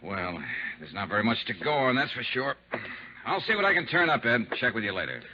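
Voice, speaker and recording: An actor playing a tough, sardonic investigator who wisecracks and narrates in clipped hard-boiled style in a 1950s radio drama. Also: male voice, medium pitch, very animated, fairly quick, lightly acted. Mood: wry, businesslike. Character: tough, sardonic, unbribable male insurance investigator. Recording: dull, noisy 1950s radio recording.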